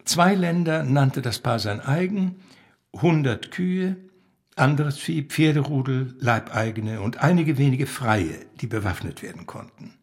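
A man reading a literary story aloud as narrator, with a husky, gravelly voice. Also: mid-range voice, expressive, unhurried. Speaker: reader.

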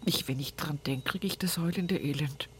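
An actress playing a grieving, devout old country mother in a radio play monologue, plain-spoken and often hushed. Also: low voice, slight background noise, lightly acted. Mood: grieving, bitter. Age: older. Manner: slow, hushed, often whispering, folksy.

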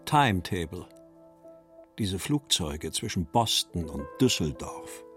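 A man's voice: low-pitched, very animated, slow, a bit bright, faint music behind. A man giving a literary reading of poetic prose.

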